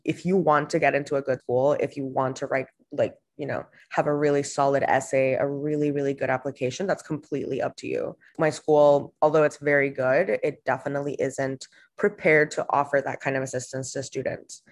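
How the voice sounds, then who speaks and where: A young woman from Honduras, a podcast guest talking casually with frequent fillers and restarts. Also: sits low. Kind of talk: casual interview answers.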